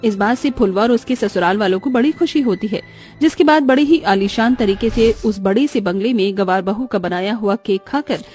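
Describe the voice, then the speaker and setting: A woman's voice: mid-range voice, moderate pace, a little mellow, faint music behind. A woman reading scripted narration for a moral story as the narrator.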